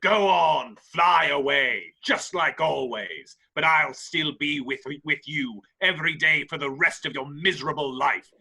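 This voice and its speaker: Male actor as menacing pirate villain, very sharp forceful voice, higher pitch, theatrical, taunting, amateur table read. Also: a little slow, few pauses, slightly rough, exaggerated voice. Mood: raging threatening.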